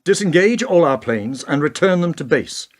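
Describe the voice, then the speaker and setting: A defiant, principled president acted by a man in a radio play. His voice sits fairly high and moves in wide swings, with an orator's questioning, indignant yet composed manner. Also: lively intonation, normal speed, close to an everyday voice. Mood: firm, composed.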